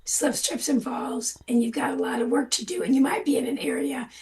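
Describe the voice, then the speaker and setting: An older hoarse woman, a farm safety guest on a radio show, talking casually and rambling with a self-deprecating manner. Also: sits high, steady tone, brisk, darkish tone, slight background noise.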